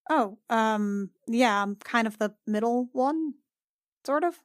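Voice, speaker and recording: A woman's voice: fairly high pitch, dry recording. Woman playing an awkward, wry young adventurer, dry close sound. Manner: hesitant, halting, colloquial.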